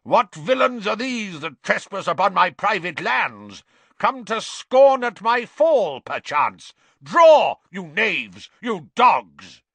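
A man's voice: high-pitched, sing-song, slow, a bit bright, breathy edge, no echo, voice pushed up, heavily acted. A man voicing a short, pompous, hot-headed knight in a storybook, declaiming bombastically in archaic language. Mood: blustering, enraged. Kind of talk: audiobook character acting.

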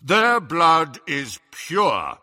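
A man voicing a big, intimidating official in an audiobook, blustering and booming with deliberate, pompous authority. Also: very animated, bright, forceful tone, no room sound, clean studio sound, heavily acted.

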